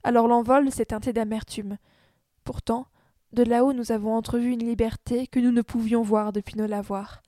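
A woman's voice: fairly high pitch, fairly even intonation, normal speed, dark tone, dry recording, clean studio sound. A woman reading a scripted, literary narration.

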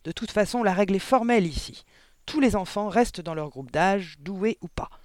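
A monstrous middle-aged storybook headmistress, played by a man, bullying and full of spite. The voice is pushed high and barks out each phrase with emphatic scorn. The sound is close and dry. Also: expressive, normal speed, no echo. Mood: outraged, bellowing.

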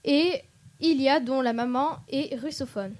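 A woman presenting a radio segment, introducing guests in a clear announcing manner. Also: high voice, a little slow, darkish tone.

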